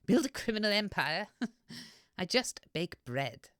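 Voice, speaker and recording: A woman's voice: mid-range voice, big pitch swings, a little slow, a bit bright, no echo, studio quality. A woman playing a menacing granny baker with a criminal past in a comedy audio sketch, sweetly evasive with veiled threats. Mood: sweetly threatening.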